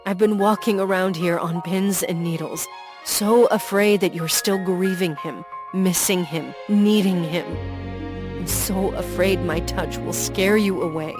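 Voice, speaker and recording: A woman's voice: lowish voice, unhurried, music in the background, lightly acted. Woman voicing an anguished heartbroken young man. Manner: raw, pleading, rising to anguished outbursts. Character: heartbroken young man pouring out jealous love.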